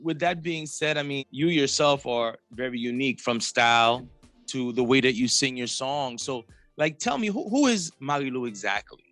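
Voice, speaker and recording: A man's voice: a bit bright, no echo. A man hosting a music podcast interview, chatting casually in a laid-back way with plenty of slang. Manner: laid-back slang, swings pitch for quoted reactions. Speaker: podcast host.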